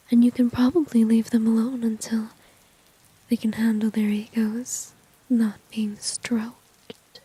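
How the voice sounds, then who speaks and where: A woman playing a flirty, dominant villain in a whispered ASMR roleplay, teasing slowly and coyly with mocking condescension. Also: fairly high pitch, no room sound, heavily acted, low-quality recording. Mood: smug, seductive. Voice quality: soft, breathy whisper. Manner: slow, coy, mocking, drawn-out teasing.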